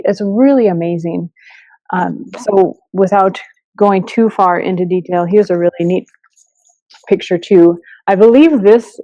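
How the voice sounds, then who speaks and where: Female podcast host, conversational delivery, mellow tone, muffled and roomy recording. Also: mid-range voice. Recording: dull, echoey room sound.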